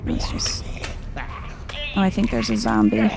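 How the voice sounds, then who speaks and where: A soft-spoken woman giving hushed, chill ASMR-style video game commentary, thinking aloud to herself. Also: medium pitch, animated, slow pace, gravelly, background noise.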